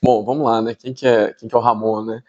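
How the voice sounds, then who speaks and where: A man's voice: on the low side, little pitch movement, quick pace, a little mellow, dull audio. A middle-aged Brazilian man with a Minas Gerais accent, explaining things conversationally in an interview and often checking in with tag questions. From Espírito Santo, Brazil. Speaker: podcast guest, tech entrepreneur.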